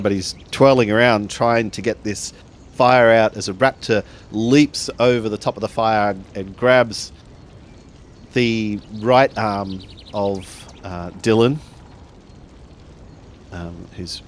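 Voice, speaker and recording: A man narrating a tabletop roleplaying game in a halting way, building his sentences bit by bit. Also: lowish voice, slight background noise. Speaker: tabletop RPG player.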